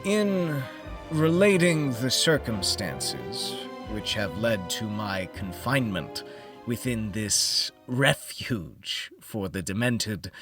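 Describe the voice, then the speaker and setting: A man narrating a gothic horror audiobook in the first person, theatrical and measured, with deliberate pauses. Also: medium pitch, a bit bright.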